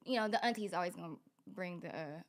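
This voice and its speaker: A woman's voice: fairly low pitch, quick pace. Slangy Bay young woman. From Vallejo, California. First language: Tagalog. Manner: loose slangy talk full of "like" and restarts. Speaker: guest singer-songwriter. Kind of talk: casual chat.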